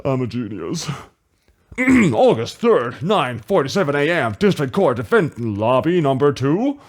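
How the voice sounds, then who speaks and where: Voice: medium pitch, sing-song, measured pace, soft background music. A performer doing a casual let's play, reading the game aloud with playful, sweary asides. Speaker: game player reading all parts.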